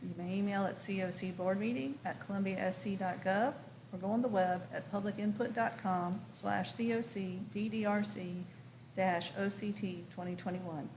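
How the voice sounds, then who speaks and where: An American woman chairs a formal public meeting in a meeting room. Her voice sits at a middling pitch as she steers procedure. There is faint noise behind her. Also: steady tone, moderate pace, darkish tone, rough recording. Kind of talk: running a formal public meeting, partly reading announcements.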